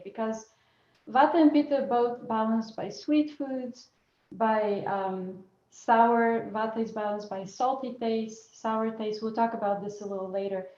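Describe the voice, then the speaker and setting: A woman's voice: on the high side, mellow. A woman teaching Ayurvedic cooking over a video call, explaining patiently and often restarting her phrases. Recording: roomy and muffled video call audio.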